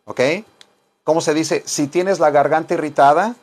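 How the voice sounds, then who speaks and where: A man's voice: on the high side, fairly even intonation, normal speed, a little mellow. A middle-aged man teaching a bilingual language lesson informally, repeating phrases and dictating them word by word. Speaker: English teacher and self-styled chaplain. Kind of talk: bilingual English lesson in Spanish and English. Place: small wood-paneled room indoors.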